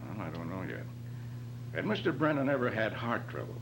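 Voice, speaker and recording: A man playing a brisk, level-headed private detective who questions with authority in an amateur radio drama. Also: fairly low pitch, big pitch swings, gravelly. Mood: probing. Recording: noisy amateur recording with hum.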